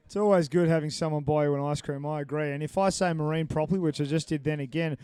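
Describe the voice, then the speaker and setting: A man's voice: fairly high pitch, normal speed, darkish tone, clean tone, no room sound, studio quality. A man commentating a live match with upbeat, jokey analysis and frequent fillers. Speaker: sports commentator.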